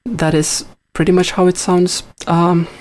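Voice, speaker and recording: Finland-Swedish man, Finnish native, slow unhurried live-coding chat, halting and thinking aloud. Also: high-pitched, flat delivery, a little mellow. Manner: hesitant, thinks aloud with many fillers. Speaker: live coder answering chat. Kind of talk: casual thinking-aloud commentary.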